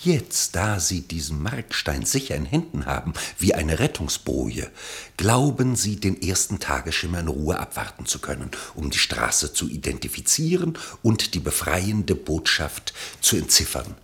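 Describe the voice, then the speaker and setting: A man narrates a radio play as a literary storyteller. His low voice has a gravelly edge and moves expressively up and down, with an ironic, elevated delivery. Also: sing-song, moderate pace, a bit bright.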